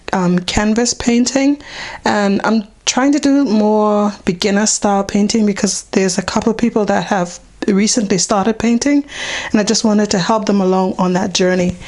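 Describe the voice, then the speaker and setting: Hoarse woman talking through a painting. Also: medium pitch, a little mellow. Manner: unhurried, talks through each step with many ums. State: hoarse, partly lost voice. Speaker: painting teacher. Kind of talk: casual step-by-step painting demonstration.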